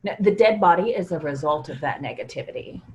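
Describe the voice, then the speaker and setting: An actress playing a self-assured believer in vibes, in a staged reading. The woman's voice sits a little low and swings expressively as she preaches earnestly. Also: lively intonation, fairly quick, darkish tone, slight background noise, close to an everyday voice. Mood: preachy, certain. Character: self-assured, preachy woman who senses negative energy.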